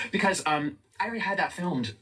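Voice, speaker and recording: A man chatting rapidly in a talking-head video, filling his talk with many likes. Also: sits high, lively intonation, bright, forceful tone, recorded from a distance, low-quality recording. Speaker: content creator. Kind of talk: casual talking-head chat.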